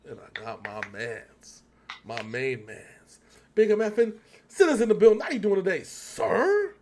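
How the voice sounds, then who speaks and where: A man's voice: sits high, big pitch swings, unhurried, darkish tone. An American man hosting a reaction show in casual, slangy, rambling chat.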